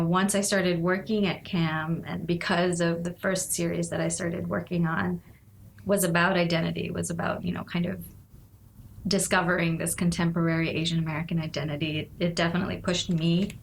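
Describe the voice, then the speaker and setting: A woman's voice: on the low side, little pitch movement, moderate pace, vocal fry, slight background noise. A woman in her forties from Nepal, a guest speaking thoughtfully in a casual interview, often laughing and saying "you know".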